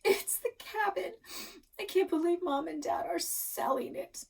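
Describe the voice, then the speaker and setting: A woman's voice: extremely high pitch, measured pace, creaky, far from the mic, voice pushed up, full character voice. A woman voicing an upset young sister in a storybook, sulky and plaintive. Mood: tearful, sulky.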